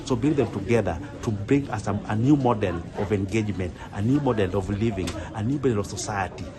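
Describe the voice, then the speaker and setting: A man with a Kenyan accent giving a guest presentation, haltingly, often repeating and rephrasing his words. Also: lowish voice, over music. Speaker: guest speaker, museum curator. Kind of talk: presentation on a live online event.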